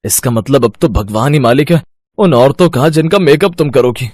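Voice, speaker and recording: A man's voice: medium pitch, expressive, moderate pace, a little mellow, dry recording, studio quality, lightly acted. A man playing a jovial, effusive village husband who teases his wife, in an audio story. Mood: teasing.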